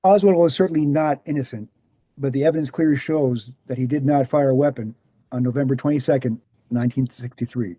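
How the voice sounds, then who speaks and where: A man acts out a jovial, assured older researcher in a screenplay table read. His voice is mellow and a little high, closely dry. He explains things with confidence and can harden when challenged. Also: no room sound, lightly acted.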